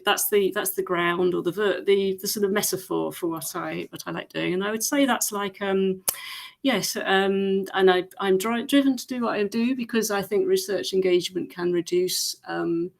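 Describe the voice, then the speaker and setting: A woman's voice: medium pitch, moderate pace, gravelly. A woman with an English accent introducing herself informally at a training session, hesitant and searching for words. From England. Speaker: research engagement freelancer at a training session.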